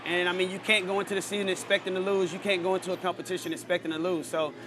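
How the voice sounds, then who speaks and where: A man's voice: sits high, level tone, racing. A man giving a casual interview answer as an athlete, confident and emphatic through repetition. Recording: faint outdoor ambient noise.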